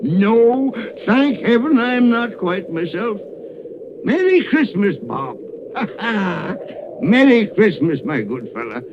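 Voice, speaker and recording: An actor playing an elderly reformed miser in a vintage radio drama, exuberant and chuckling, full of exclamations. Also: male voice, sits high, sing-song, takes it slowly, exaggerated voice. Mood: merry. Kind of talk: 1930s radio drama acting. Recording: noisy, dull 1939 radio broadcast.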